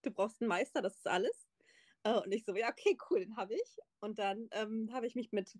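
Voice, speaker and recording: A woman's voice: mid-range voice, fairly quick, dry recording, clean studio sound. A woman pastry chef as a podcast guest, chatting casually with many fillers and the odd laugh. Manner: relaxed, laughing, filler-heavy. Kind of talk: casual interview chat.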